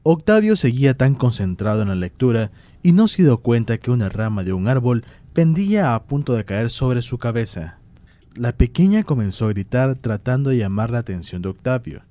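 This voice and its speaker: A man's voice: medium pitch, very animated, normal speed, mellow, no echo. A man giving scripted storytelling narration for a radio drama.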